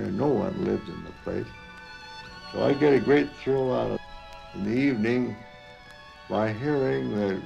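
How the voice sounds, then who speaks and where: An older man with an American accent recalls his working life in an interview. His voice is low and very gravelly, and he speaks haltingly and plainly, with faint music behind him. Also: mellow, lo-fi sound. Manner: halting, deliberate, plain-spoken. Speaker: retired professor and engineer interviewed. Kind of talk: unscripted reminiscence in radio interview.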